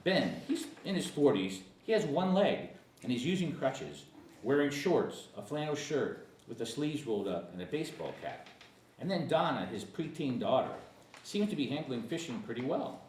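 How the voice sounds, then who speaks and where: A man's voice: mid-range voice, big pitch swings, normal speed, a little mellow. A man reading scripted stage directions as narrator at a screenplay table read. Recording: echoey room, distant mic, faint noise.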